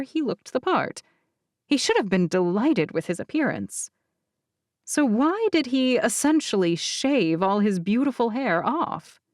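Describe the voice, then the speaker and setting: Female audiobook narrator for first-person fiction. Lower-pitched, very animated intonation, measured delivery. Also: no echo, studio quality. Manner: expressive, deliberate phrasing.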